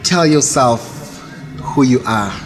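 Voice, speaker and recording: A man hosts a casual online interview show at a mid pitch. He talks a lot and often stumbles, repeating words as he goes, while music plays clearly behind his voice. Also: animated, slow. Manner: talkative, hesitant, stammers and repeats words. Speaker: talk show host.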